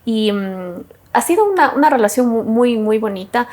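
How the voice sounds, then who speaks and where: A woman's voice: a little high, normal speed. A young Ecuadorian woman, a sommelier guest on a podcast, speaking in a casual, storytelling way and acting out quotes. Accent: Ecuadorian Spanish. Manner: warm storytelling, animated quoting, frequent laughs. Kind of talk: casual interview chat.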